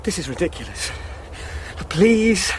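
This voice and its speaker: Rattled young English solicitor, outdoor ambience. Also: male voice, high voice, big pitch swings, takes it slowly, heavily acted. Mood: desperate calling. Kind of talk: radio drama acting. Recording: noisy outdoor sound-effects ambience.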